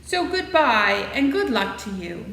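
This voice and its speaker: A woman's voice: medium pitch, very animated, unhurried, vocal fry, fairly bright tone, heavily acted. A woman playing a formal, obedient king of winged monkeys in a children's storybook, in a declamatory manner. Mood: formal farewell. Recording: echoey room with faint noise.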